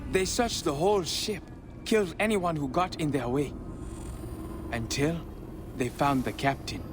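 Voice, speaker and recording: A man's voice: a little high, unhurried, background noise, lightly acted. An actor playing a boastful red-haired young thief who spins his tales glibly in a game cutscene. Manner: boastful, glib storyteller.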